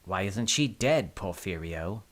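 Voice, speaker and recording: A woman's voice: a bass voice, animated, measured pace, pitched below the performer's own voice, full character voice. A woman voicing a dastardly, scowling young prince in a storybook, demanding and menacing. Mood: scowling menace.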